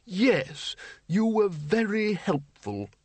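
Elderly undead wizard played by a male narrator, mild, courteous, hesitant, slow delivery, raised pitch, storybook comedy. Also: very animated, husky, no room sound, exaggerated voice. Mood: reassuring. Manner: gentle, hesitant, apologetic.

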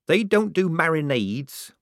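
A man's voice: on the high side, very animated, measured pace, fairly bright tone, no room sound, voice pushed up, full character voice. A man doing a wry, practical young recruit. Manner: dry, reasonable. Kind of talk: audiobook character voice.

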